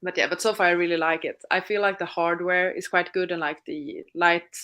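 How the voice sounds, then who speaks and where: A woman's voice: fairly low pitch, fairly even intonation, brisk, a bit bright. A woman co-hosting a live reading stream, chatting in a friendly way and asking the others questions. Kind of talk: casual chat.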